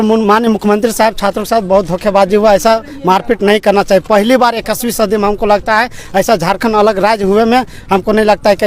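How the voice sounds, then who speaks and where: Casting: young male student protester, Jharkhand accent, very high-pitched voice, impassioned and pleading delivery. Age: young adult. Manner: aggrieved, pleading. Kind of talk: impassioned street interview.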